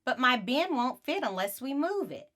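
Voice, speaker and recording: Storybook girl, young, indignant and curious, played by a woman; higher pitch, very animated, emphatic. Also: moderate pace. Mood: insistent. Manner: emphatic, protesting.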